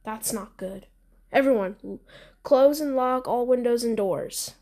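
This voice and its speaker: Slow-talking, high-voiced woman narrating gameplay. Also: a little mellow. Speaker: gamer playing a roleplay scenario. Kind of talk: casual gameplay commentary in roleplay.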